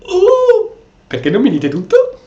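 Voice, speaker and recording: A man's voice: very high voice, unhurried. A man giving casual, exclamatory role-play commentary on a video game, in a roomy recording with game sound behind. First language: Italian. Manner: exclamatory, animated. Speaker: gameplay streamer. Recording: roomy, slightly dull mic, game noise behind. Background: video game audio.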